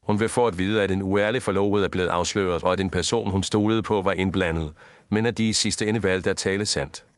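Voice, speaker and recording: A man playing a composed, grave detective in an audio story, speaking formally and with measured deliberation. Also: low voice, steady tone, no room sound, close to an everyday voice. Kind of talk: scripted audio story dialogue.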